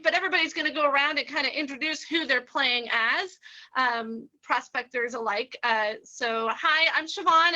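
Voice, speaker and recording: A woman's voice: high voice, sharp tone. A woman casually welcoming an audience as host of a radio players' reading.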